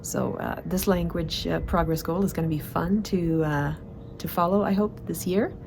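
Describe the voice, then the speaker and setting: Low gravelly woman vlogging. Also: steady tone, moderate pace, music in the background. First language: Swedish. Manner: chatty, frequent fillers and restarts. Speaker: productivity vlogger. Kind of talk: casual monthly report to camera.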